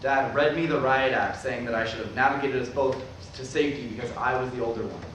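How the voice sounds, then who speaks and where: A man's voice: mid-range voice, fast talker, fairly bright tone, natural voice. A man acting in a staged play reading as a wry, apologetic older brother, estranged and carrying old grievances. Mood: regretful. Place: large room at a staged reading. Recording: very echoey distant room recording, clear noise.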